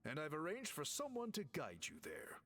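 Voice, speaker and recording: A man playing a polite, businesslike tribe official in a video game, speaking in measured, formal phrases. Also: a little high, huge pitch swings, sharp tone, full character voice. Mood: assured.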